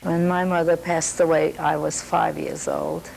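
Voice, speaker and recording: A woman's voice: low-pitched, little pitch movement, measured pace, gravelly, darkish tone, a little hiss or hum, rough recording. An older woman from Slovakia, recalling early life in a plain, hesitant way. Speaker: interviewee. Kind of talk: personal oral-history reminiscence.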